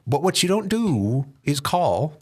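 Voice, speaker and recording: A man's voice: fairly high pitch, very animated, a little slow, darkish tone, breathy edge. A man hosting a live, unscripted studio podcast with teasing, wry banter. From Texas, United States. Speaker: podcast host. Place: studio in Shanghai.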